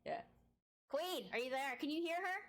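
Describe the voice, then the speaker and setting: A woman hosting a casual live-streamed podcast interview. She talks in an effusive, chatty way with a big booming voice and laughs often. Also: expressive, moderate pace.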